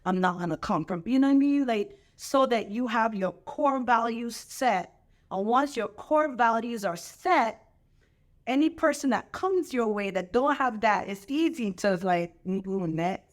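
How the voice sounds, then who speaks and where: A woman pastor hosting a casual chat, coaching her guest with lively, repetitive emphasis and preacherly turns of phrase. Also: on the high side, a little slow. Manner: preacherly scripture quoting. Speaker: pastor and show host.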